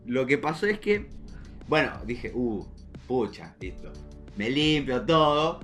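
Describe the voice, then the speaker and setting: A young man with a Rioplatense Argentine accent co-hosts a casual podcast. His voice sits at an average male pitch, and he talks in a slangy, joking, animated way. Faint guitar music plays behind him. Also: slow pace. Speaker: podcast co-host.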